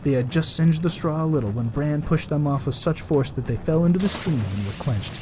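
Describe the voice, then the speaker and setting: A man reading a folktale aloud as a storyteller, in expressive storybook narration. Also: on the high side, a little fast, slight background noise, poor audio. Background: added music.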